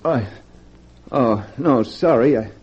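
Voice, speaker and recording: A man's voice: mid-range voice, animated, slow, a little mellow, slightly rough. An actor playing a desperate, broke male locksmith, strained and pleading, in a 1940s radio drama. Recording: dull vintage radio recording with music.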